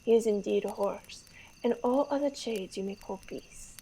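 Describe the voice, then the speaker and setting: A woman's voice: mid-range voice, moderate pace, vocal fry, dark tone, slight background noise, lightly acted, rough recording. A young woman acting a vain, horse-besotted young French prince in a Shakespeare radio play, grandiose and declamatory. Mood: boastful, rapturous.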